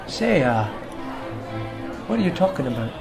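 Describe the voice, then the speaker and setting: A man's voice: fairly high pitch, big pitch swings, moderate pace. A man playing a plain-spoken dwarf adventuring cleric in a fantasy audio drama, asking probing, slightly hesitant questions. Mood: inquisitive. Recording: loud music and tavern crowd over voice. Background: loud music, tavern crowd.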